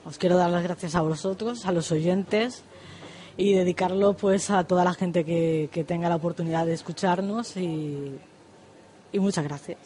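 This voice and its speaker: A woman, a radio interview guest, speaking in a grateful, soft-spoken way. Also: lowish voice, normal speed. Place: local radio studio.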